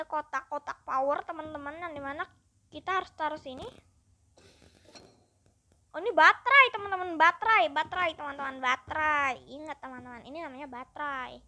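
A gaming YouTuber giving upbeat, chatty let's-play commentary and constantly addressing the viewers. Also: female voice, high-pitched, sharp tone.

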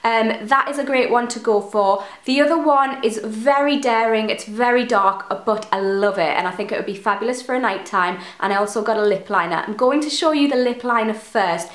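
A woman's voice: on the high side, normal speed, few pauses, roomy sound. A young woman in her twenties, a beauty vlogger chatting to camera in her bedroom, sounding a bit nasal from a cold and gushing about products. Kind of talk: casual product review to camera. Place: bedroom, indoors. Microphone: camera in front at close range.

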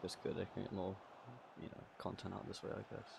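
Male gaming host, croaky and gravelly, excitable play-by-play over a noisy recording. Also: low voice, quick pace. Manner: excitable, swears and laughs at own play. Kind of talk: casual game commentary.

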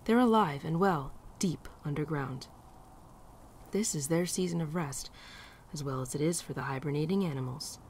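A woman playing a gentle, teasing winter spirit in a girlfriend ASMR roleplay, speaking softly and tenderly, often dropping to a near-whisper. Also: sits low, normal speed, a little hiss or hum, natural voice. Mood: gentle, reflective. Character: gentle, teasing female winter spirit, affectionate old friend. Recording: birdsong and outdoor ambience behind.

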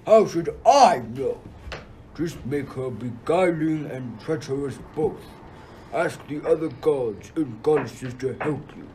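A man's voice: fairly high pitch, expressive, takes it slowly, dark tone, rough recording. A man playing Zeus as a pompous, vindictive king of the gods in an amateur radio play. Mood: commanding. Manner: pompous, scornful pronouncements. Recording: faint background noise.

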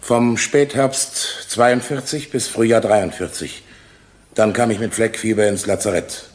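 Male actor as factual witness, ex-telephonist: fairly low voice, measured, firm, radio courtroom play. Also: fairly even intonation, close to an everyday voice. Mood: sober, firm. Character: sober, matter-of-fact male former camp telephonist testifying. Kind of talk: German radio drama acting.

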